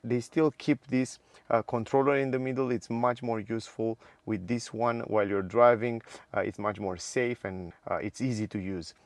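A man's voice: lowish voice, no echo. A man touring a car's multimedia screens, speaking English with small grammar slips and lots of "uh" fillers, upbeat about the features. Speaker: car reviewer. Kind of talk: walkaround car review with screen demo. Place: inside a car.

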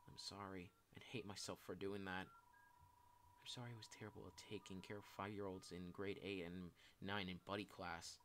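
A man's voice: fairly low pitch, fast talker, few pauses, a little hiss or hum, close to an everyday voice. A man voicing a shy, self-loathing young game character who speaks in a hesitant, subdued and apologetic way. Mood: downcast, ashamed.

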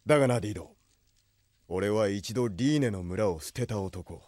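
An actor playing a grave, lordly exiled prince who leads a rebel army, speaking in solemn, measured lines in an anime audio drama. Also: male voice, fairly low pitch, animated, a little mellow, dry recording, clean studio sound, natural voice. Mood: grave, resolute.

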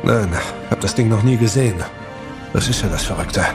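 A man's voice: lowish voice, normal speed, natural voice. An actor playing a brooding, earnest young male spaceship captain in a sci-fi audio drama. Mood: concerned. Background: music.

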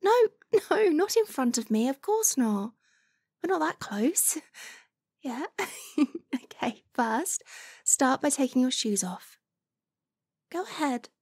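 A British woman playing a caring, fussing young stranger in a chatty, expressive ASMR roleplay. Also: high voice, takes it slowly, pauses often, no echo, clean studio sound, exaggerated voice. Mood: playful, relieved. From United Kingdom. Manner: chatty, expressive, giggly hesitations. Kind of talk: acted ASMR roleplay, conversational. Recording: hiss and steady rain sound. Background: rain falling.

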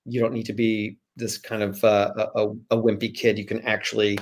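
A man's voice: on the low side, little pitch movement, a little fast. Middle-aged man chatting and reading aloud. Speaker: guest author. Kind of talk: casual chat and storybook reading. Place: small room at home, indoors. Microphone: video call, computer at arm's length.

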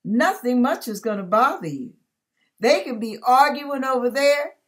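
An older woman speaks in a slightly high voice at a slow, deliberate pace. She gives an informal devotional talk, conversational yet emphatic, and often turns to the listener with rhetorical questions. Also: animated, a bit bright. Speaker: online ministry host. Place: furnished room at home.

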